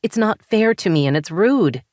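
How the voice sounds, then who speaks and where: A woman's voice: low-pitched, expressive, moderate pace, no echo, clean studio sound. A woman reading as a frustrated, heated wife. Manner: heated, insistent. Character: frustrated married woman, hurt and angry.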